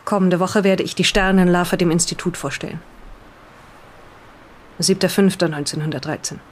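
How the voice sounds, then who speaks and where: A woman's voice: mid-range voice, slight background noise, natural voice. A woman playing a hesitant, bewildered reader of an old diary, reading aloud and commenting in an audio drama. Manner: halting, breaks off to comment on the reading.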